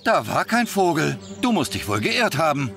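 An actor playing an excitable, fussy older man, a bus driver, in a cartoon. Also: on the high side, normal speed, over music. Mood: eager, firm. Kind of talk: animated series dub acting.

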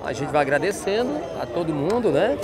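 A man's voice: a little high, sing-song, a little fast. A man walking an outdoor livestock fair, chatting with sellers and repeating prices to viewers. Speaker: market reporter host. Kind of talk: walking market vlog, interviewing sellers. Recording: busy outdoor market crowd. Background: crowd chatter and animals.

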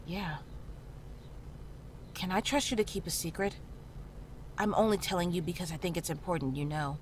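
A woman playing a calm, frank town healer in a horror visual novel, speaking in a measured, reassuring way. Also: fairly low pitch, natural voice. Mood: serious, confiding. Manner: measured, matter-of-fact, reassuring. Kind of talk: visual novel character dialogue. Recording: clearly audible background noise, ambience.

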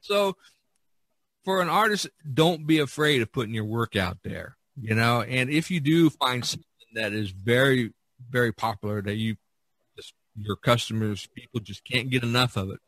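A male podcast host with a mid-pitched voice, talking casually in a dry recording. He offers advice emphatically, pokes fun at himself and circles back to repeat his points. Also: animated, normal speed, a bit bright, no room sound. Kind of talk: casual discussion, giving advice.

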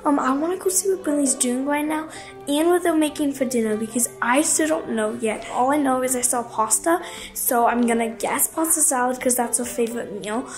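A young woman vlogging to camera, chatty and bubbly, greeting family warmly. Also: sits high, brisk, faint music behind. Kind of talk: casual vlog narration to camera.

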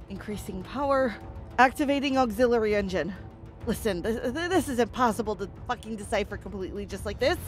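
An actress plays a worn-out but resolute woman at the controls of an alien ship. Her voice is on the high side, urgent and commanding, with dramatic audio drama delivery over a music bed. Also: lightly acted. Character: determined, exhausted woman survivor piloting an alien spacecraft.

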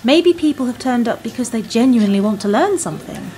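A woman's voice: fairly high pitch, lively intonation, fast talker, dark tone, lightly acted. A woman playing an earnest, inquisitive admirer with a questioning manner in a radio play. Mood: challenging. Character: eager, inquisitive female fan with a dull job. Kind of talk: radio drama dialogue.

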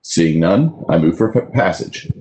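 Deep-voiced man chairing a council meeting. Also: sing-song, mellow, slight background noise, poor audio. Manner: reads legislation aloud in a measured, formal way. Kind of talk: formal council meeting proceedings. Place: home room on a video call.

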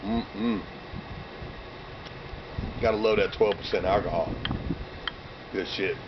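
Hoarse guy in his 30s rambling outdoors, kinda low voice, muffled cheap camera audio. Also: steady tone, takes it slowly, noisy background. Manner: loose slang-heavy shout-outs, drinking between lines. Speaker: vlogger. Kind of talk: casual rambling vlog. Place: outdoors by bare trees and fields on a cold sunny day. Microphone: camera mic from a few meters away.

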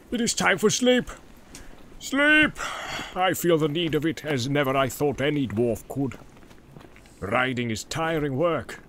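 A man's voice: on the high side, fairly bright tone, slight background noise, voice pushed up. A man voicing a proud, battle-eager dwarf in a dramatized fantasy audiobook, with a hearty, boastful manner. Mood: restless, eager. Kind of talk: dramatized audiobook character reading.

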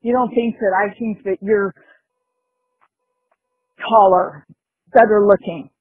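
Husky woman on lo-fi voicemail. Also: mid-range voice, fairly even intonation, slow pace, dry recording. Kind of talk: personal voicemail message. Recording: muffled lo-fi phone voicemail.

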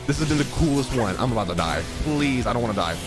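A young man doing live gameplay reaction commentary as a streamer, excitable and full of slangy exclamations. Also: mid-range voice, fast talker. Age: young adult. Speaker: game streamer. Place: indoor streaming room. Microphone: close desk microphone. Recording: loud game battle music behind. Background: game battle music.

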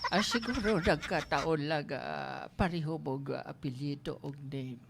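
A woman's voice: deep voice, measured pace, gravelly. A woman playing a boss who flirts with a job applicant in a comic drama, mixing English into her talk. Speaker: company boss interviewing an applicant. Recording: loud background noise.

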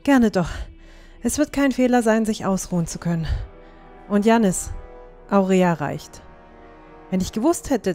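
Genteel young adventuress played by a woman, mid-pitched. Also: warm tone, close to an everyday voice. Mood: polite, easygoing. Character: confident, genteel, curious young woman traveller Aurea. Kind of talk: fan-made fantasy audio drama acting.

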